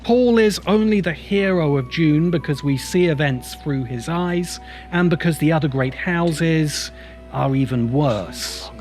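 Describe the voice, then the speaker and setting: A man's voice: fairly high pitch, animated, unhurried, darkish tone. A man delivering scripted video essay narration in an emphatic, opinionated, rhetorical manner. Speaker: host and writer of science fiction video essays. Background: background music.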